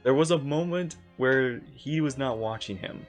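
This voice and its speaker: A woman streamer reacting casually to a game, thinking aloud in halting, repetitive fragments. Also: rumbling low, soft background music.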